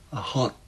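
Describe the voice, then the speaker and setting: An actor playing a haunted, despairing doctor in a radio ghost story, with a hoarse, faltering, breathy voice and a bitter curtness. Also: male voice, sharp tone. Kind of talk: period radio drama acting.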